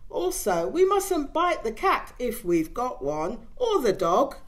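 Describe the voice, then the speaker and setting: A middle-aged woman reading a picture book aloud to young children, expressive and gently teacherly, putting questions to them. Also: fairly high pitch, slow pace. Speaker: children's story time reader. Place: indoors in front of a decorated backdrop.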